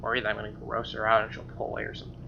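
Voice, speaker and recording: A man's voice: on the low side, completely flat delivery, very fast, creaky, background noise. Casual male gamer, slightly dull mic. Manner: informal, joking asides. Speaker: streamer playing and reading a game. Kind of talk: casual playthrough, reading narration aloud with ad-libs. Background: acoustic guitar game music.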